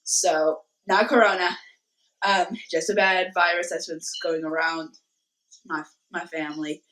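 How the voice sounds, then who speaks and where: A young woman with a hoarse voice from a cold, casually talking through a craft tutorial from her bedroom. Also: fairly low pitch, fairly even intonation, fairly bright tone, poor audio. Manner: slow, rambling, many fillers. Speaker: cosplay vlogger. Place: bedroom at home.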